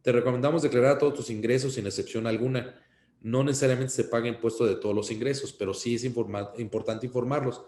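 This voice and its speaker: Man, Mexican accent, accountant instructor, cautionary and halting delivery, mid-range voice, echoing room sound. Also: mid-range voice, steady tone, a little mellow. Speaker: accountant giving tax advice. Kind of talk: informal instructional webinar talk.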